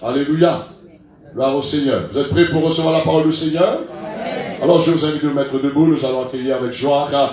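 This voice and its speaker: A man's voice: fairly high pitch, fairly even intonation, brisk, a little mellow. A middle-aged pastor with a cold making chatty announcements to his congregation, often adding "hein" and hesitating. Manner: chatty, peppers speech with "hein", hesitant fillers. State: has a cold. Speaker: pastor leading the church meeting. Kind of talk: church announcements. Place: church hall. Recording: very echoey hall from far off.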